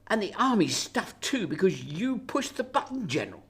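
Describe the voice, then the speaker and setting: A woman playing an exasperated, sharp-tongued Prime Minister, scolding and sarcastic, in a radio drama. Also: mid-range voice, very animated, moderate pace, husky. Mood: accusing.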